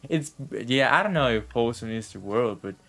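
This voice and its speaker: A woman with an extremely low-pitched voice gives live game commentary. She talks casually, in a laid-back, slangy way, and swears freely. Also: lively intonation, normal speed. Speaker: game streamer.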